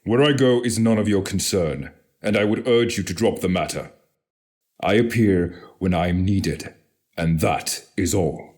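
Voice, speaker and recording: A man acts out a stern god of thunder in a superhero audio drama. His voice sits low, and he speaks formally and archaically, aloof and commanding among mortals. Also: measured pace, darkish tone.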